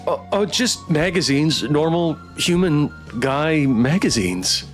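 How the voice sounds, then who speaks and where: A man acts out a mild suburban monster from a visual novel, awkward and overly ordinary. The voice is fairly high and lilts up and down over faint music. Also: animated, takes it slowly. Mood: sheepish.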